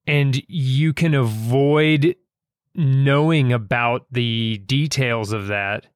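A man discussing stories thoughtfully as an author and host, speaking haltingly and repeating phrases for emphasis. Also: medium pitch, clean tone, no room sound, clean studio sound.

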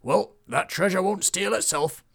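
A swaggering bearded treasure-hunter out of a storybook, as a male narrator puts him on, pitched high and booming. He blusters along cheerfully, as if slaying dragons were an everyday chore. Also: a little slow, creaky, husky, heavily acted. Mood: blustering. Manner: loud and blustering. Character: bold, boastful bearded adventurer man, reckless and cheery.

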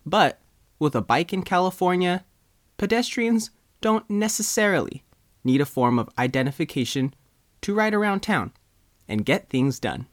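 A man reading a scripted news story as a reporter, in measured, short phrases. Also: sits high, expressive, no echo, clean studio sound. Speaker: news reporter and writer reading own article.

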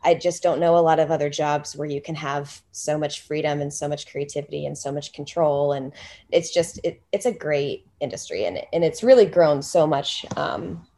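A woman's voice: deep voice, moderate pace, dark tone. A woman in her thirties from the US, a salon owner chatting casually as a podcast guest, rambling with fillers and restarts.

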